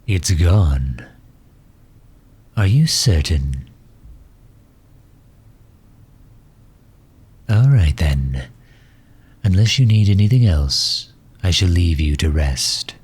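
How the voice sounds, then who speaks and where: A man acts out a megalomaniac villain with an extremely low, heavily breathy and husky voice. He is formal and doting, speaking slowly and gently as he cares for his wounded enemy in an ASMR roleplay. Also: exaggerated voice. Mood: soft, courteous. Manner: formal, solicitous, unhurried. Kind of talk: ASMR roleplay, intimate acting.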